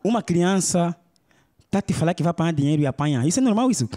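A man's voice: a little high, animated, quick pace, dark tone, slight background noise. A young man with an Angolan Portuguese accent doing stand-up storytelling, acting out characters on a club stage. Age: young adult. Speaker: stand-up comedian. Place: comedy club stage with audience. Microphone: handheld microphone.

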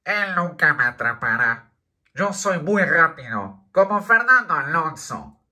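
A man's voice: fairly high pitch, very animated, measured pace, pushed and bright, full character voice. A man voicing a boastful, evasive schoolboy in an amateur comic fandub, cocky and defensive.

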